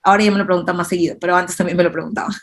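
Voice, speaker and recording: A woman's voice: fairly low pitch, steady tone, racing. Thoughtful Peruvian woman explaining. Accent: Peruvian Spanish. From Peru. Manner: thoughtful, explanatory, with frequent tag questions. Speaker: guest. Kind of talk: conversational discussion.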